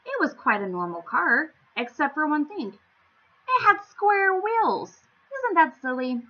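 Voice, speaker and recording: A woman reading a storybook aloud to young children, with theatrical, playful emphasis and an expressive storytelling style. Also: sits high, slow pace, highs cut off. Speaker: children's story-time reader.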